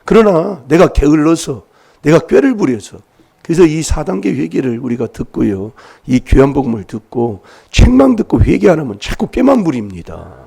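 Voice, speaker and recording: A man's voice: fairly high pitch, sing-song, moderate pace, gravelly, dark tone, slightly rough. A man preaching an emphatic sermon in a church, prodding his listeners with rhetorical questions while he has a cold. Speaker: pastor.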